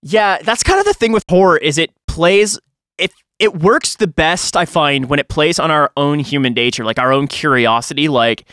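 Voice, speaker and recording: Male podcast host, crisp bright tone, very dry sound, casual conversational delivery. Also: a little high, animated, moderate pace, dry recording.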